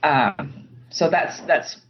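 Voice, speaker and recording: Woman, American accent, lowish pitch, casual interview guest, muffled lo-fi audio with faint noise. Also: extremely slow. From Utah, United States. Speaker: interview guest, writer and teacher. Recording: dull lo-fi line, faint background noise.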